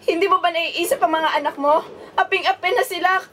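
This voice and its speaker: High-voiced, wounded wife played by a woman. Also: steady tone, a little fast, a bit bright, a little hiss or hum. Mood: tearful anger. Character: hurt, furious betrayed wife and mother. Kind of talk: radio drama acting.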